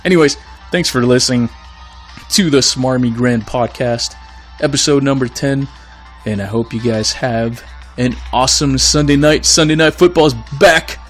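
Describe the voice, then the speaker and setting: A man's voice: medium pitch, moderate pace, faint music behind. Ranting podcast man losing his voice. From Florida. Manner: blunt, ranting, exasperated. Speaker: podcast host. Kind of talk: solo podcast rant.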